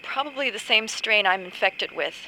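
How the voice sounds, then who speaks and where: A woman's voice: medium pitch, expressive, normal speed, pushed and bright, a little hiss or hum, poor audio. An actress playing a defiant, weary woman agent on the run from captivity in a video game cutscene. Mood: urgent, tired.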